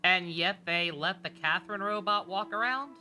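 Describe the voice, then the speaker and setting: A woman gives casual playthrough commentary in a bright, slightly low voice. Her intonation is animated, her manner teasing and sarcastic, and game music plays behind her. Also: moderate pace. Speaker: game streamer.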